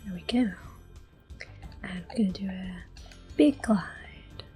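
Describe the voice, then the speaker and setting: Soft spoken girl doing asmr gameplay, whispery and mellow, woman taking it real slow. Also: medium pitch, faint music behind. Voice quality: soft, breathy, often whispered. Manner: gentle, unhurried, murmured. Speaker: gameplay host.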